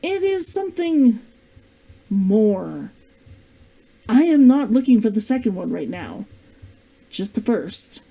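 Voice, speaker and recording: A woman voicing a guarded, gruff male adventurer in a fantasy storybook, speaking tersely and earnestly. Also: fairly high pitch, sing-song, slow pace, long pauses, mellow, full character voice. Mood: earnest, insistent.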